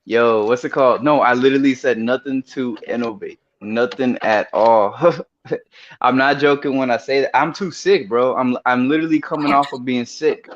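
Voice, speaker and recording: A man's voice: medium pitch, moderate pace. A man with a stuffy, nasal voice from a cold, chatting casually on a podcast in a laid-back, slangy way. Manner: laid-back slangy talk with easy laughs. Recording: muffled with faint hiss.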